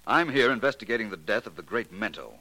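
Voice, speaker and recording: An actor playing a cool, confident gentleman detective in a 1940s radio mystery, speaking in a measured, matter-of-fact way. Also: on the low side, big pitch swings, breathy edge, exaggerated voice, poor audio. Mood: cool, probing. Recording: vintage 1940s radio recording.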